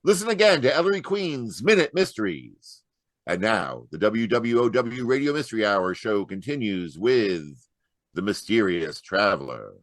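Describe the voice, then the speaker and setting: A man's voice: lowish voice, sing-song, normal speed, dry recording. An actor playing an upbeat old-time radio announcer with a polished salesman's delivery. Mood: grand.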